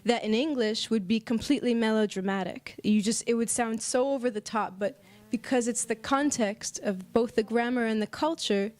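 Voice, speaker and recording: An American woman speaking casually in an interview as a singer-songwriter, thoughtful and halting, often pausing mid-phrase. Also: fairly high pitch, a little mellow. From United States. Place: apartment.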